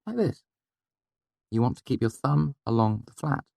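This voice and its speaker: A man's voice: medium pitch, big pitch swings, moderate pace, gravelly, dark tone, no echo, clean studio sound, voice pushed up. A man voicing a sly, practical young woman in an audiobook, with a wry, teasing manner. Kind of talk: audiobook character voice.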